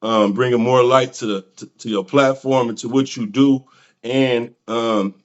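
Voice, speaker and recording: A man's voice: medium pitch, steady tone, unhurried, highs cut off. A man hosting a casual interview, talking in respectful slang and often adding "you know what I'm saying.". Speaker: interviewer, host.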